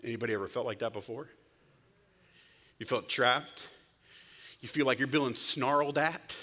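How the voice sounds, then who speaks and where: A man preaching a sermon, telling stories with loud bursts and sudden hushed whispers. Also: medium pitch, moderate pace. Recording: long hall reverb.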